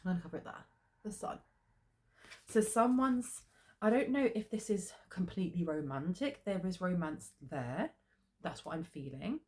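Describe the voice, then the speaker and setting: A woman's voice: on the low side, expressive, normal speed, a little mellow. A woman with a head cold, sniffly, giving a chatty tarot card reading with plenty of ums. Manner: chatty, many filler ums. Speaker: tarot reader.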